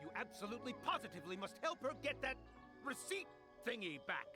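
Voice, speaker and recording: A woman's voice: a little high, very animated, fast, pushed and bright, faint music behind. A woman voicing a frantic young video game character, emphatic and commanding.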